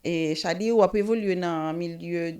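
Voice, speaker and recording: A woman's voice: lowish voice, moderate pace, darkish tone, smooth. A woman hosting a casual interview, hesitant with many fillers. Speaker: talk show host.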